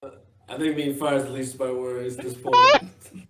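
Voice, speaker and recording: A man's voice: mid-range voice, huge pitch swings, moderate pace, music in the background. A man playing a tabletop roleplaying game, bantering casually in character. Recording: roomy, noisy microphone with clicks.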